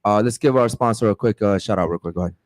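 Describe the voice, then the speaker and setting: A man hosting a casual podcast, loose and quick to laugh. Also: lowish voice, a little hiss or hum. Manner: loose, laughs often, says "man" a lot. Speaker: podcast host.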